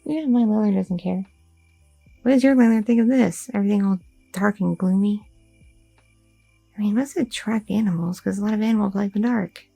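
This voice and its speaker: A woman's voice: medium pitch, fairly quick, creaky, pauses often, dark tone, no room sound, faint music behind, poor audio. A woman voicing a curious, chatty young girlfriend in an ASMR roleplay, rambling with many fillers and nervous questions. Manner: rambling, inquisitive, filler-heavy talk.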